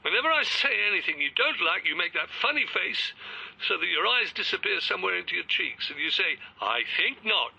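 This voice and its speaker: A man's voice: high-pitched, sing-song, fairly quick, vocal fry, sharp tone, slightly rough, full character voice. An actor playing a hesitant, apologetic older Englishman who stammers as he explains himself in a radio drama. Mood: hurt. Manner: apologetic, mild, rambling. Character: timid older man, retired, set out walking. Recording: dull lo-fi audio with faint background noise.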